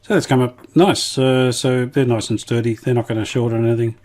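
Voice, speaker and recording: A man casually talking through a hands-on repair demonstration, unhurried and matter-of-fact, thinking aloud as he goes. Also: medium pitch, fairly even intonation, a little mellow. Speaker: hobbyist radio restorer presenting his video.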